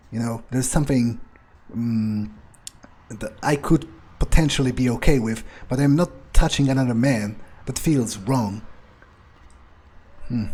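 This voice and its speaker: A man doing an improvised in-character gameplay monologue, thinking aloud in a halting, musing way. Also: mid-range voice, dark tone, husky. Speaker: gamer playing in character.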